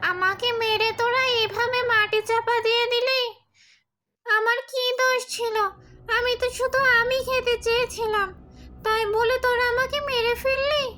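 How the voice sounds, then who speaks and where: Shrill, menacing witch ghost. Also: fairly even intonation, moderate pace, bright, forceful tone, slight background noise. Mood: bitter, vowing revenge. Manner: menacing, taunting delivery with cackles. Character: vengeful female ghost witch haunting a mango tree. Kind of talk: exaggerated horror cartoon acting.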